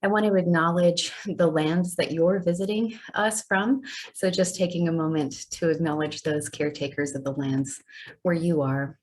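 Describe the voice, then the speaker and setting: A middle-aged woman from Alaska, a physician giving a conference talk over a video call in an unhurried storytelling way. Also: on the low side, steady tone. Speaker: physician and research network director, presenter. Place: indoors, virtual background.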